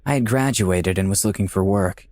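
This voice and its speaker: A man's voice: on the low side, moderate pace, a little mellow, natural voice. A young man playing a wry, self-deprecating dead artist, narrating a visual novel in a conversational, dryly humorous way. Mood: wry, reflective. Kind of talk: scripted first-person visual novel narration.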